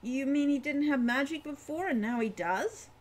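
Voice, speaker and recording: Woman doing an excitable, high-voiced young sidekick. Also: moderate pace. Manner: panicky, blurting.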